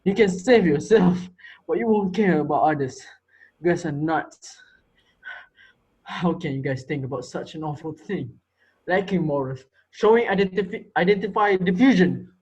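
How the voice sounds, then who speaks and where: A man playing an unhinged, menacing teacher in amateur reader's theater, taunting his students in a theatrical way with drawn-out words. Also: high voice, a little mellow, highs cut off, close to an everyday voice. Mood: scornful ranting.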